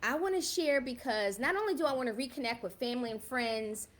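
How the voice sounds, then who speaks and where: A woman's voice: on the high side, a little fast. A woman in her fifties, raised in the South Bronx, hosting a casual livestream and talking straight to her viewers, often checking in with a "right?". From South Bronx, New York. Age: 50s. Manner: chatty, keeps asking viewers "right?". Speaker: livestream host.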